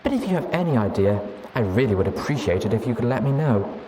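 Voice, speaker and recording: A man's voice: medium pitch, sing-song, fast talker, background noise, lightly acted. A man voicing a polite, studious female pony sorceress reading her letter aloud, earnest and formal with hesitant asides. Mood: concerned, courteous. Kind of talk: acted audiobook letter reading.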